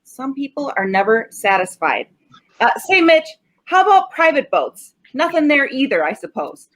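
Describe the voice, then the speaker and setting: Woman playing a high-pitched sarcastic tough-guy cop. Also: very animated, moderate pace, fairly bright tone. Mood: smug, needling. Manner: slangy, sardonic tough talk. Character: gruff, sarcastic male homicide cop, stubborn and unimpressed. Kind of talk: 1940s radio acting.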